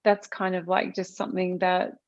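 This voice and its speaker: A chatty woman from Australia whose first language is Arabic, speaking as a podcast guest. Her voice has a gravelly fry, and the sound comes through a little dull and lo-fi. She talks in a loose, rambling way with many fillers and restarts. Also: on the low side, level tone, normal speed, darkish tone. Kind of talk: casual conversational interview.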